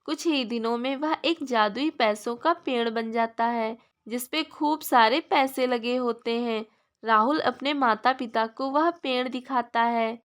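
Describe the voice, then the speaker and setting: A woman's voice: fairly high pitch, a little slow, a bit bright. A woman narrator reading a scripted children's story.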